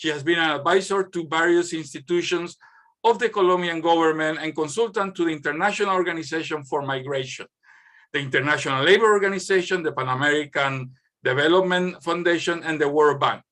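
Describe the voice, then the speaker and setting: Higher-voiced man moderating a panel. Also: a bit bright. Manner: formal introductions, slowing with repeated phrases. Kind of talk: introducing speakers at a conference.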